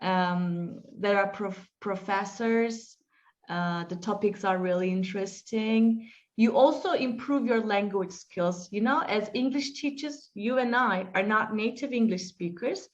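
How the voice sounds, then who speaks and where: Woman teacher, unhurried and reflective, muffled call audio. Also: medium pitch. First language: Turkish. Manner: slow, reflective, with frequent fillers and restarts. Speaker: English teacher being interviewed. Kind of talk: interview answers, storytelling.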